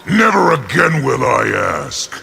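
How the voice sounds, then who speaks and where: A man voicing a hot-tempered, domineering warlord general who speaks forcefully and threateningly in a fighting video game. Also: a little high, big pitch swings, unhurried, vocal fry, long reverb tail, exaggerated voice. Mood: angry, forceful. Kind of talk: video game character dialogue. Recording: loud music behind.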